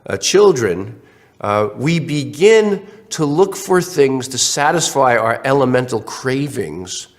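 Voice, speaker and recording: Lively lecturing man with a congested cold. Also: medium pitch, slow pace. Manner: animated, acts out questions in other voices; many restarts and fillers. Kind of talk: recorded online lecture.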